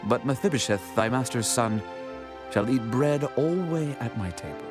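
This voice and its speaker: A man's voice: medium pitch, lively intonation, normal speed, lightly acted. A man playing a gracious, commanding king in a dramatized scripture reading, solemn and declarative. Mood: regal, benevolent. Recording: music bed under the voice.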